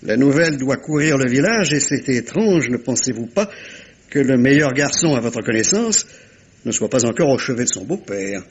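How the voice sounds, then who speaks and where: A fussy polite detective in a radio whodunit, husky voice, swooping intonation, probing questions. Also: male voice, medium pitch, expressive, moderate pace. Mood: probing, sly. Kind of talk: French radio mystery drama acting.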